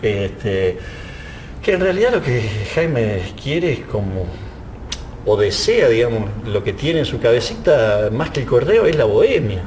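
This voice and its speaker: Man explaining stuff in an interview, mid voice, halting n thoughtful, bit of rumble in the background. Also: big pitch swings, darkish tone. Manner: halting, searching for words, tag questions. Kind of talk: reflective interview talk, explaining a play. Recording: low rumbling background noise.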